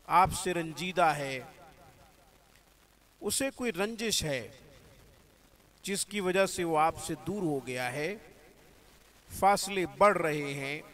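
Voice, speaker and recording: A man with a fairly high voice teaches religious practice in a very slow, unhurried way. His delivery is calm and devout, woven with Arabic and religious phrasing. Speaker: spiritual healer teaching prayers. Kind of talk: religious instruction.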